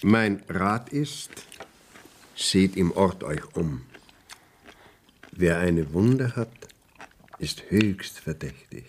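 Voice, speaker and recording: An actor playing a courteous, probing court inspector in a radio play, speaking measured, formal verse with a husky voice. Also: male voice, sits low, animated, a little hiss or hum, heavily acted. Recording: 1970s radio drama studio recording.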